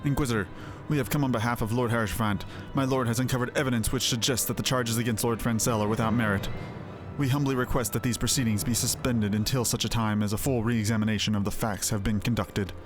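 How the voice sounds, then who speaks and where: A man's voice: medium pitch, fairly even intonation, fast, close to an everyday voice. A man voicing a dutiful knight in a fantasy game, speaking earnestly and courteously. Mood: pleading. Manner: formal, earnest. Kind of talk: fantasy game dialogue read aloud. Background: game music.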